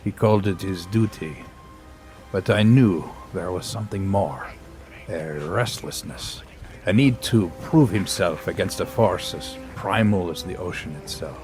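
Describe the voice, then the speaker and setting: A man voicing a grave male scientist who narrates a sea voyage in an audio drama, with measured, dramatic pauses. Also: sits low, darkish tone, soft background music. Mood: solemn.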